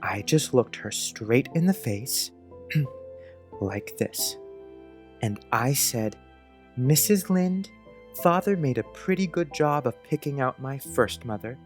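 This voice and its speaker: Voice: medium pitch, animated, takes it slowly. An actor playing an earnest, precocious young schoolboy who chats dreamily in quaint grown-up phrases, in a dramatized audiobook. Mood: proud, confiding. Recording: music under the voice.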